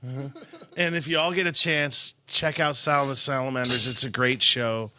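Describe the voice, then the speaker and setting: A man's voice: mid-range voice, fairly even intonation, moderate pace, background noise. A man hosting an interview, easygoing and friendly, with lots of casual agreement. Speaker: podcast host.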